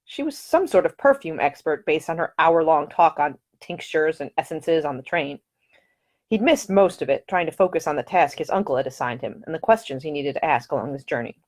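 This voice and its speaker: A woman narrating a story as an author reading their own novel, in a dramatic, expressive audiobook style. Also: deep voice, brisk.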